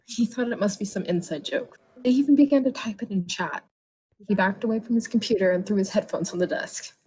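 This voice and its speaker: A woman's voice: mid-range voice, a little mellow, breathy edge, poor audio. A woman reading a written story aloud in a dramatic narrating style. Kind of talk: dramatic bedtime-story narration of a comic horror tale.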